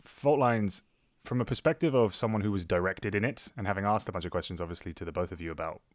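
A man with a notably deep voice talks as a podcast co-host. He is informal and rambles through his thoughts in casual conversation. The sound is dry, with little room on it. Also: quick pace, dry recording. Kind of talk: casual chat.

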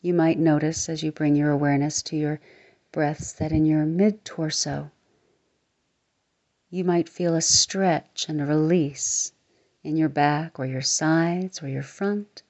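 Woman, meditation guide, low voice, mellow tone, slow soothing delivery, soft near-whispers, slightly dull recording. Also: steady tone.

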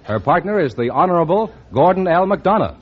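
A man's voice: high-pitched, sing-song, fairly quick, slightly rough. A man announcing an old-time radio show, introducing things in a formal style. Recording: dull, muffled old radio broadcast.

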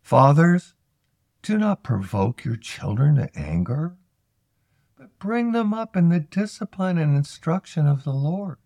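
A man reciting scripture from a text in a measured, emphatic way, phrase by phrase. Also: on the high side, big pitch swings, a little mellow, no room sound. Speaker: scripture reader. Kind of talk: scripted Bible reading, recited aloud.

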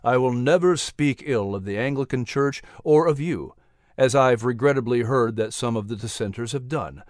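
A man playing a gracious young preacher in a storybook, speaking plainly, gently and sincerely. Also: mid-range voice, normal speed, dry recording, clean studio sound, lightly acted. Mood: humble, earnest. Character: humble, earnest, gracious young male preacher.

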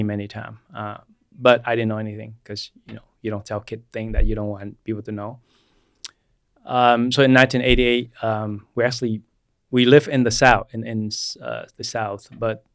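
A man in his forties, born in Vietnam, with Vietnamese as his first language, recounting his life story at an easy, unhurried pace with plenty of "uh"s and restarts. His voice sits at a middle pitch for a man. The recording is dry and close, with no room echo. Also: a bit bright. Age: 40s. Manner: unhurried, with frequent fillers and restarts. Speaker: guest chiropractor. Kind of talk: personal storytelling in an interview.

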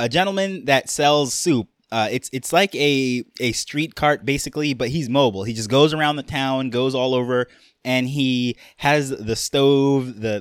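A man's voice: mid-range voice, fairly bright tone, no room sound, studio quality. A young man with a New York accent hosting a casual solo podcast, rambling along with fillers and restarts. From Jamaica, raised in New York. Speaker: podcast host.

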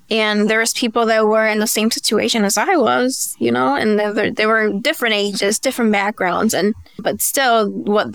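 A woman's voice: medium pitch, gravelly, fairly bright tone, clear, resonant tone, no room sound. A woman from the Dominican Republic, a native Spanish speaker, chatting casually as an interview guest. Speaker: podcast guest, beauty brand founder.

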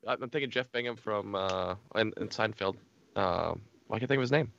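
A man's voice: lowish voice, normal speed, vocal fry, fairly bright tone, dull audio. A man co-hosting a podcast, joking and riffing on ideas in casual chat. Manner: jokey, riffs on ideas. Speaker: podcast co-host.

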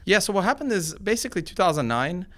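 A man's voice: high-pitched, very animated, fast talker, fairly bright tone. A man in his forties who was born in Iran and grew up in Sweden, giving conversational interview answers as a tech founder and researcher, in an informal explanatory way. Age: 40s. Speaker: tech company founder and computer science researcher being interviewed.

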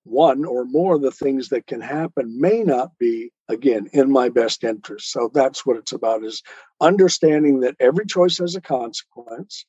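A man's voice: medium pitch, normal speed, warm tone, breathy edge, no echo. A man answering as an interview guest in a conversational, earnest and explanatory way, on a lo-fi recording. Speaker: interview guest, poet and author. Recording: dull lo-fi sound.

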